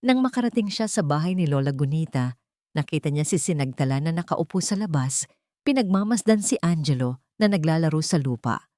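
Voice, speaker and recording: A woman's voice: deep voice, lively intonation, a little fast, dark tone, dry recording, studio quality. A woman narrating a scripted dramatic story as a storyteller.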